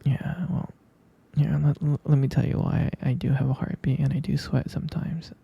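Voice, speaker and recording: A man playing a gentle, sleepy vampire boyfriend in an intimate ASMR bedtime roleplay, murmuring tenderly and hesitantly in a breathy, gravelly half-whisper. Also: on the high side, fairly even intonation, moderate pace, no room sound, highs cut off, heavily acted. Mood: patient, explaining.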